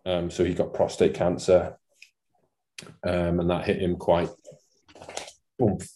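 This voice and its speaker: A man's voice: sits low, flat delivery, slow, warm tone, slight background noise. A Welsh man in his late twenties, a farmer guest chatting casually in an interview, self-deprecating and laughing at his own jokes. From Wales.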